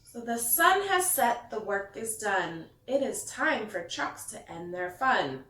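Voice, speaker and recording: Slow, higher-voiced woman reading in an echoey room. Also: slight background noise. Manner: expressive sing-song reading, stressing rhymes. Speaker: teacher reading a picture book to children. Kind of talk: bedtime story read-aloud with asides to young listeners. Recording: echoey room, distant mic.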